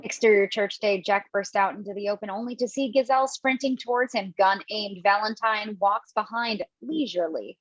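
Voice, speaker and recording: A woman narrates screenplay directions at a table read in a mid-pitched voice. She occasionally trips over a word. The audio is dull, with very little room sound. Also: moderate pace, hardly any pauses, dry recording. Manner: stumbles over words while reading. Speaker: stage directions reader. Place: remote online table read. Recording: dull, dry lo-fi call audio.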